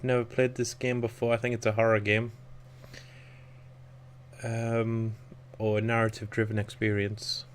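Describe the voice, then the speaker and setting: Croaky man thinking aloud over gameplay. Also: fairly low pitch, monotone, normal speed, long pauses, slight background noise. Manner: rambling with fillers, thinking aloud. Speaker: let's play commentator. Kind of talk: casual gameplay commentary.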